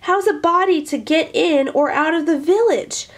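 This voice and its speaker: A woman's voice: very high voice, normal speed, pitched above the performer's own voice. A woman voicing an alarmed, plaintive male village constable complaining in a storybook tale. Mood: distressed. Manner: wailing, plaintive.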